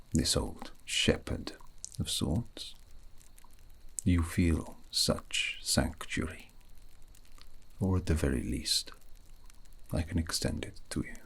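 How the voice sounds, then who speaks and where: A man playing a gentle, wistful elven dream mage in an ASMR fantasy roleplay, murmuring slowly and poetically in a breathy, gravelly half-whisper. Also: a bass voice, exaggerated voice. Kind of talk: ASMR fantasy roleplay, soothing sleep guidance.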